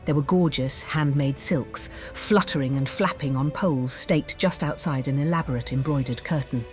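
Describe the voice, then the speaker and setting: Female audiobook narrator for fantasy, measured, descriptive delivery with a faint music bed. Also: rumbling low.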